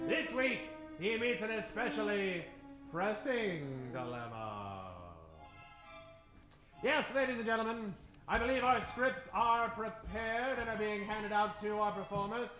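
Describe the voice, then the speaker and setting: A man with a very high, forceful voice plays the showman announcer of an old-time radio adventure. His delivery is grand and theatrical, recorded on a roomy live stage with music faintly behind. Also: lively intonation, measured pace. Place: live stage before an audience.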